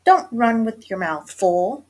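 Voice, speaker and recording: A woman's voice: a little high, big pitch swings, slow pace, pitched above the performer's own voice, full character voice. A woman voicing a bossy, no-nonsense mother cat in a storybook, hollering and giving orders. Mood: scolding.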